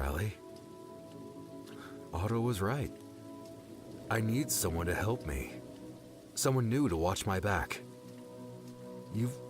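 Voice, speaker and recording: Casting: male actor for weary, kind adventurer, deep and unhurried, regretful, audiobook drama with music and rain. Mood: reassuring, sincere. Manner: reassuring, subdued, measured. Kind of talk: audiobook character acting.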